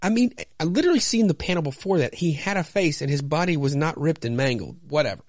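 A man hosting a podcast, giving casual, halting, self-deprecating commentary and narration on a comic. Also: a little high, lively intonation, darkish tone, no echo. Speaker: podcast host.

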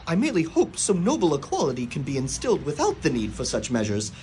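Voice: medium pitch, wildly swooping pitch, fast talker, a little mellow, slight background noise. A performer playing a jittery, superstitious young male schoolmaster who stammers skittishly in a radio drama. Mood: uneasy.

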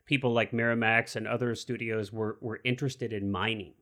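A man's voice: on the low side, steady tone, brisk, fairly bright tone. A man in his forties hosting a casual film discussion, talking enthusiastically and rambling through restarts. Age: 40s.

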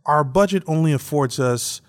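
A man's voice: on the high side, normal speed. A man co-hosting a podcast in a casual, bantering style, playfully argumentative. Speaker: podcast co-host.

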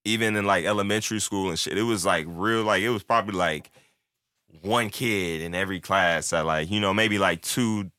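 Casual guest: young man, very low voice, bright and dry, rambling talk full of filler. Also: normal speed, clear, resonant tone, no echo. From born in Turkey, raised in the United States. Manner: loose, rambling, heavy filler, acts out quoted friends. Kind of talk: casual chat.

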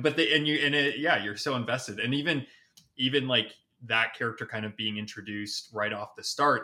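A man with a bright, forceful voice of ordinary pitch is a guest on a film review show. He speaks casually and with enthusiasm. His sentences come haltingly as he works out what to say. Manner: enthusiastic, halting as he gathers thoughts. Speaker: podcast guest reviewing films.

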